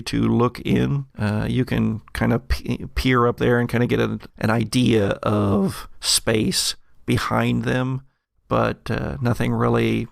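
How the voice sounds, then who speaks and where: A man narrating scenes as game master, improvising haltingly as he thinks aloud. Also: medium pitch, gravelly, no echo, studio quality.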